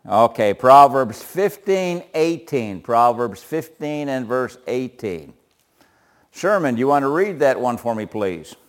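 A man with a loud voice leading a group Bible study, often chuckling and putting questions to the group. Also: medium pitch, big pitch swings, measured pace.

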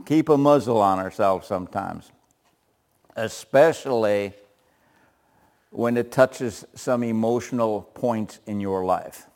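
A man's voice: fairly low pitch, slow, long pauses. Chuckling man leading a Bible study. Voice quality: loud voice. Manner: chuckles often, asks the group questions. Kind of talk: group Bible study discussion.

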